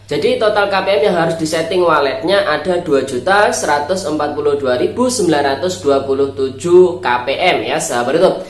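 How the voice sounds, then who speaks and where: An Indonesian YouTube host speaks with a nasal, flu-congested voice in a roomy, echoing space. The pitch sits in the middle, and the delivery is explanatory and informational, addressed directly to the viewers. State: stuffed-up nose from flu. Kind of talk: informational vlog narration, reading out an official letter. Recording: roomy echo on the voice.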